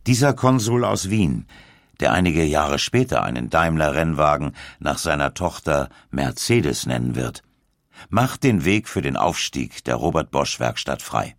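A man reading a scripted documentary narration in a measured storytelling way with deliberate emphasis. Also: rumbling low, lively intonation, dry recording, clean studio sound. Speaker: audiobook narrator.

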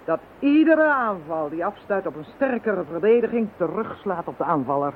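A man's voice: high voice, big pitch swings, moderate pace. Een man die in een hoorspel een kalme, belerende kenner van het bovennatuurlijke speelt. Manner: lecturing, self-assured. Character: calm male occult expert, confident and wry. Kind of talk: radio play acting. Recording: lo-fi phone-band radio recording with faint hiss.

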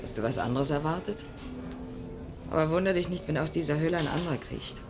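An actress in a German radio play voicing a bossy, determined young woman conspirator, brisk and commanding. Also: deep voice, close to an everyday voice. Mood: conspiratorial, determined. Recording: studio radio play with prominent music. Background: music.

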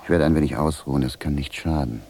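An actor playing a weary, freezing wanderer who muses theatrically to himself in a radio drama. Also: male voice, rumbling low, fast, darkish tone. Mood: weary, cold. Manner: theatrical musing, talking to himself. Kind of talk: German radio drama acting, soliloquy. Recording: noisy 1970s radio drama recording.

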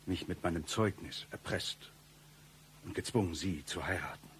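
An actor playing a shady, self-pitying man who spins absurd hard-luck stories, deadpan and mock-tragic, in a satirical radio play. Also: sits low, normal speed, slight background noise. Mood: aggrieved, lamenting.

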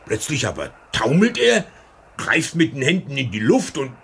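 An actor playing a chatty old lighthouse keeper in a radio crime drama, with a folksy, halting way of talking. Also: male voice, mid-range voice, big pitch swings, gravelly, a bit bright, slightly rough, heavily acted. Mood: confiding. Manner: folksy, hesitant, repeating words. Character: old lighthouse keeper and seaman, bluff, chatty, whisky-drinking. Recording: old radio play with faint background noise.

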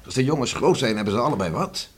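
A man's voice: medium pitch, lively intonation, fast, slightly rough. A man playing a cheerful, coaxing island farmer and father in a radio drama, folksy and reassuring. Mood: coaxing, upbeat. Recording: noisy old radio play recording.

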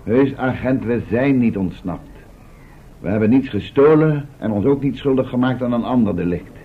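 An actor playing a sober, formal professor's assistant who speaks precisely in a Dutch radio play. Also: male voice, medium pitch, lively intonation, moderate pace, husky. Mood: apologetic reasoning. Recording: tinny, very noisy old radio recording.

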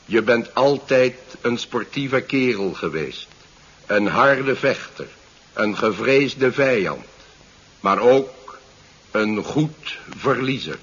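Slow, measured Martian captor. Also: male voice, medium pitch, expressive, a bit bright, slightly rough, heavily acted. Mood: smug, firm. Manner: measured, soothing, patronising, speech-making. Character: calm, condescending Martian captor addressing his defeated human prisoner. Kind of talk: 1970s science fiction radio drama acting. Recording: dull 1970s radio recording.

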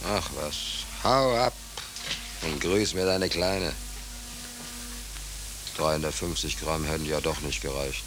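An actor playing a hungry, soft-hearted drifter sailor in a 1940s radio drama, with a wry, colloquial drawl. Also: male voice, rumbling low, takes it slowly, long pauses. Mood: musing, tender. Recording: noisy 1940s radio recording.

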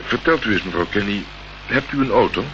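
A man's voice: lowish voice, lively intonation, brisk, natural voice. An actor playing a wry, probing investigator in a radio crime play, polite but pointed in his questions. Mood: probing, dry. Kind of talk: Dutch radio play acting. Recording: dull, noisy old radio play recording.

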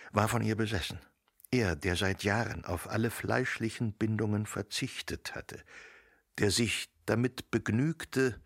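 A man narrating a radio drama in a literary, dramatic style, with deliberate phrasing and emphatic pauses. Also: lowish voice, vocal fry, fairly bright tone, dry recording, clean studio sound.

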